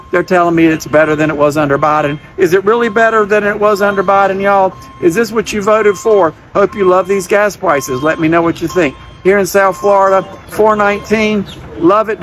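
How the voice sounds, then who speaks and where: A man's voice: high-pitched, a bit bright. A man from the United States giving a casual, sarcastic commentary to his followers. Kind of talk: casual political vlog. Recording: faint outdoor traffic noise.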